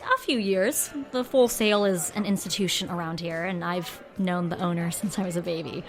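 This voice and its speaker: A woman playing a teasing, quick-witted small-town bartender in an audio drama. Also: lowish voice, lightly acted. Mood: teasing, wry.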